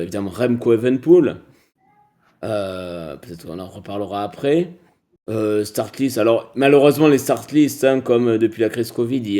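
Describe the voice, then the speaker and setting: A man's voice: medium pitch, mellow. A man co-hosting a cycling podcast, talking casually with many hesitations and restarts.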